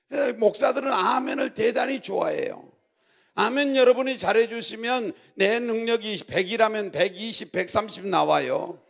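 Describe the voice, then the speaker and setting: Male pastor, high creaky voice, exhortatory and repetitive call-and-response sermon delivery. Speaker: pastor preaching to congregation.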